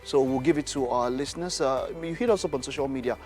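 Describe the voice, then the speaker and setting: A man hosting a studio interview, lively and quick to chime in with agreement. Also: mid-range voice, soft background music. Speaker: talk show host.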